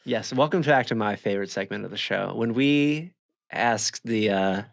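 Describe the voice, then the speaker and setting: A man with a fairly low voice talks as a podcast co-host. He banters casually and drops playful, mock-formal quips, recorded close and dry. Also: normal speed, creaky, no room sound.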